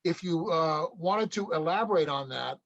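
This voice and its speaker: A man's voice: on the high side, lively intonation, moderate pace, vocal fry, a bit bright. A man explaining things informally to a group during an online meeting, heard over lo-fi call audio.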